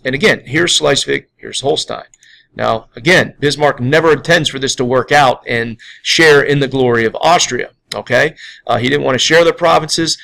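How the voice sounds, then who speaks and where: A man's voice: medium pitch, gravelly, a bit bright, poor audio. An American man teaching history in an informal, conversational lecture style, explaining step by step and checking in as he goes. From United States. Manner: conversational, explanatory, often tagging points with "okay" and "again".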